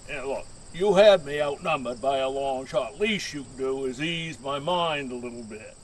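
An actor playing a wary, folksy old lighthouse keeper in an audio drama, gruff and drawling. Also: male voice, medium pitch, moderate pace, slight background noise, close to an everyday voice. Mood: wary, commanding. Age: older.

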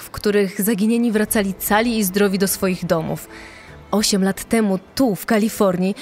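An actress playing a patient, hopeful search official who speaks in a consoling, measured way in a dramatized re-enactment. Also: female voice, medium pitch, close to an everyday voice.

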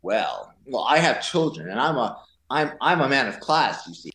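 A man's voice: mid-range voice, big pitch swings, normal speed, bright, forceful tone. Playful man in a roomy, echoey space. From born in Taiwan. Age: 40s. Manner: playful, joking, digressive. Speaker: podcast guest. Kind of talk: casual conversational storytelling. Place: indoors in a pool house.

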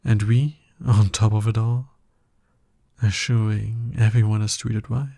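A man playing a smug, seductive, dominant villain in an ASMR roleplay, husky and breathy, with a slow, condescending, teasing manner. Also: fairly low pitch, little pitch movement, mellow, no room sound, studio quality. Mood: tempting, soft. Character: smug, seductive, dominant male villain courting his hero nemesis.

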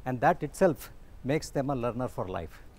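A man's voice: medium pitch, expressive, normal speed, darkish tone. A man in his fifties, an institute director, explaining things confidently in an interview outdoors on a lapel mic. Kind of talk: interview answers. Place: outdoors on campus stairs.

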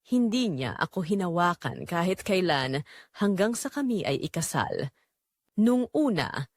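A woman's voice: mid-range voice, dry recording, clean studio sound. A woman with a Filipino accent playing a lonely newlywed wife, confiding earnestly in first-person audio drama narration. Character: lonely young newlywed wife confessing her secret.

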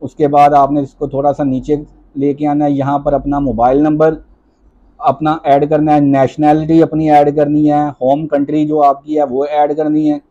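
A man walks viewers step by step through an online job application, reading the on-screen items slowly. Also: fairly high pitch, completely flat delivery, warm tone, dull audio. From Pakistan. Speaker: job-application tutorial host. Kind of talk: screen-recorded walkthrough. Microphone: voice-over over screen recording.

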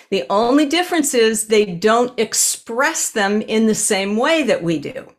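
An earnest woman in her sixties chats away as a podcast host, her voice sitting a little high. She is recorded through a webcam on a video call from a room at home. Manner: chatty, earnest, gestures with hands while talking. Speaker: animal communicator and podcast host.

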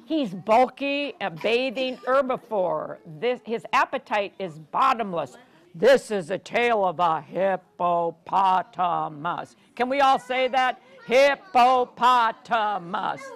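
An American woman in her sixties reading to young children at story time in a teacherly, sing-song way, often prompting with questions. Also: on the high side. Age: 60s. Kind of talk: reading a picture book aloud to young children, interactive. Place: carpeted library program room, indoors.

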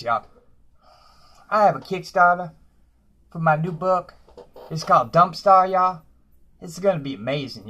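A man's voice: sits high, fairly bright tone, dull audio. A man playing a boastful, broke woman who lives in a dumpster, tacking "y'all" onto nearly every phrase in a comedy monologue.

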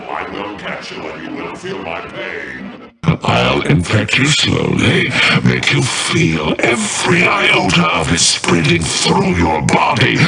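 A man's voice: fairly low pitch, vocal fry, heavily acted. A man playing a menacing, sadistic virus villain in a video game, theatrical and taunting, with an echoing effect on the voice. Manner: theatrical, taunting, drawn-out delivery. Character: menacing, sadistic male virus villain, gloating and doom-laden. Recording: echoing, distant game audio.

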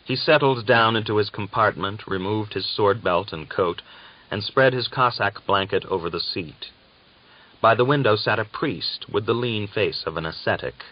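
A man narrating a novel as an audiobook, with a measured, deliberate and dramatic literary delivery. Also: on the low side. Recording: dull, lo-fi audiobook recording.

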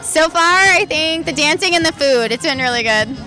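A woman representing a sponsor, answering interview questions warmly and sincerely. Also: high-pitched, lively intonation, normal speed, a faint crowd.

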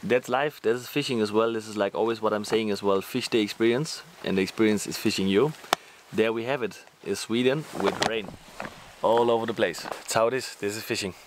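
A man's voice: fairly low pitch, fairly quick, background noise. A man in his forties, not a native English speaker, chatting casually to camera in a fishing vlog outdoors by a lake. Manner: chatty, loose non-native phrasing. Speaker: fishing vlogger. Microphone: camera held close.

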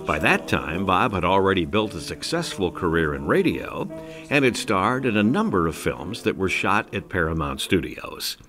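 A man's voice: deep voice, sing-song, normal speed, music in the background. A man reading scripted narration for a documentary.

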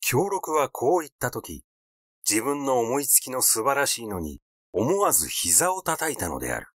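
A man's voice: mid-range voice, very animated, moderate pace, a bit bright, no room sound. A man narrating a classic literary story as an audiobook reader.

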